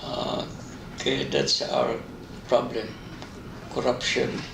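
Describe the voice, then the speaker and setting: A man's voice: mid-range voice, very animated, slow, vocal fry. A man from the Philippines with a Filipino accent gives conversational interview answers in simple, hesitant phrasing. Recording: roomy, distant mic, faint noise.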